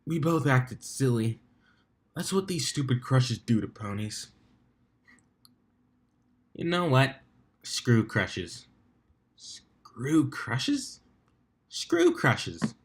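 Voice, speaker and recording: A man's voice: medium pitch, big pitch swings, extremely slow, pauses often, full character voice. Man voicing a dry, jaded mare captain. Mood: decisive. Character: droll, jaded female pony team captain.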